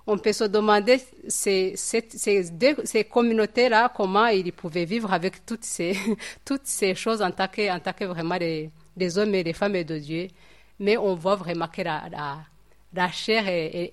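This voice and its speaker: A woman from Rwanda teaching from the Bible at a study group. Her speech is halting and reflective, full of fillers and repeated words as she searches for the next phrase. Her voice sits at a middle pitch.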